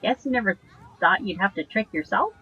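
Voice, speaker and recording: A woman acting a curious, apologetic and gently teasing girlfriend in an audio drama, with a husky, breathy voice in a dull recording. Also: mid-range voice, animated, a little fast. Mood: teasing, fond.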